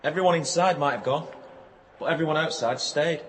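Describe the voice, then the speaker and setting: A man's voice: fairly high pitch, a little fast, a little hiss or hum, close to an everyday voice. An actor playing an earnest, plain-spoken man in a radio play, terse and matter-of-fact.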